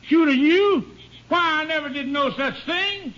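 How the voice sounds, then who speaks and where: A folksy old drunk ranch hand. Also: male voice, very high-pitched, measured pace, bright, forceful tone, full character voice. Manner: drawling, folksy, defensive. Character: older male drunk ranch hand, hard of hearing. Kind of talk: 1950s radio drama acting. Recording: dull lo-fi old radio broadcast.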